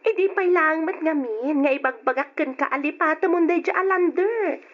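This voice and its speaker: A woman's voice: high voice, normal speed, lightly acted. A woman playing a frank, teasing young best friend in an old radio drama, speaking with an Ilocano accent. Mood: sympathetic. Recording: dull old radio recording.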